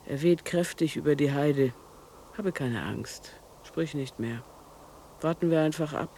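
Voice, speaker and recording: A woman's voice: low voice, unhurried, dark tone, slight background noise. An actress playing a placid, fatalistic, hard-of-hearing mother in a radio drama, musing and soothing in a repetitive way. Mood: soothing, resigned.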